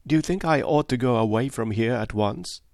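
A man's voice: medium pitch, animated, a little fast, vocal fry, darkish tone, no room sound, studio quality. A male narrator voicing a kind, candid young English woman who talks in an earnest, confiding, slightly plaintive way. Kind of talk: audiobook character voice.

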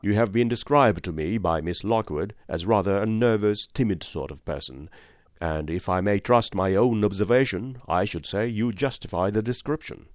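A man reading an audiobook, voicing a grave, skeptical lawyer who speaks in a dry, measured, gently ironic way. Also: on the low side, dry recording, close to an everyday voice. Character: grave, skeptical middle-aged male lawyer. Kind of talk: audiobook reading of a classic novel.

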